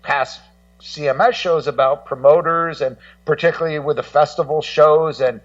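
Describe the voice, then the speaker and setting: A middle-aged American man talking casually as a guest co-host in a radio discussion, in a rambling, halting way full of "you know". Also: fairly high pitch, fairly even intonation, distant mic, muffled recording. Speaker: guest co-host and rock music journalist.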